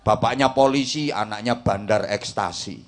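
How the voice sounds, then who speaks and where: A man's voice: lowish voice, normal speed. A middle-aged man with a Javanese accent, preaching a comic sermon to a village congregation and teasing the crowd. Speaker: Islamic preacher.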